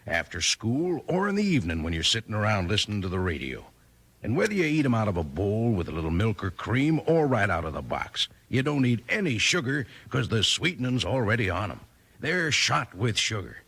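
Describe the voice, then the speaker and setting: An actor playing a folksy, enthusiastic cowboy pitchman with an American accent in a 1950s radio commercial. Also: pushed and bright, breathy edge, heavily acted. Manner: folksy, hearty salesman talk.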